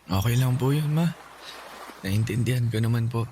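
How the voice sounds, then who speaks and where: A man playing a gentle, brave, sick young son in a Filipino radio drama, polite and earnest. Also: medium pitch, normal speed, lightly acted. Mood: grateful, cheerful. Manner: polite, soft-hearted, earnest. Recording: noticeable background noise.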